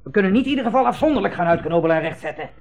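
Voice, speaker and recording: Brusque, cynical army captain. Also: male voice, high-pitched, animated, rapid-fire, a little mellow. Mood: dismissive. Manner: hesitant hemming, offhand, dismissive. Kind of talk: 1960s Dutch radio play acting.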